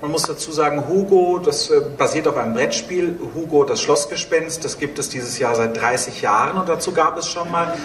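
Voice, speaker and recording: A man's voice: on the high side, fairly quick, hardly any pauses, fairly bright tone. A man in his thirties, a producer of audio dramas, talking on a panel on stage. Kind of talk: panel talk. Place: on stage in a dark event hall. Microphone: handheld microphone, heard through room. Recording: roomy hall sound, distant, faint noise.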